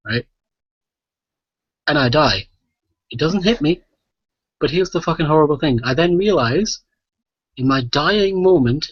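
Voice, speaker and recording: A man's voice: medium pitch, slightly rough. A man hosting a podcast, telling rambling, sweary anecdotes in casual chat and often checking in with "right?". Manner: sweary, animated storytelling with frequent "right?" tags. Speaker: podcast host. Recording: muffled, echoey voice-chat recording.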